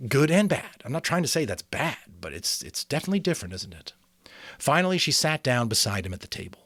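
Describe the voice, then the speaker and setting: Man, animated storyteller, lower-pitched, emphatic, dry close sound, casual asides. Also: fast, breathy edge, dry recording. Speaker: amateur voice actor reading an audiobook, commenting. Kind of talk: dramatic audiobook reading with casual commentary.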